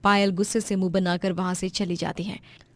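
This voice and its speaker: A woman narrates a scripted story as storyteller. Her voice sits at a medium pitch, and the recording is very dry. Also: flat delivery, moderate pace, no room sound.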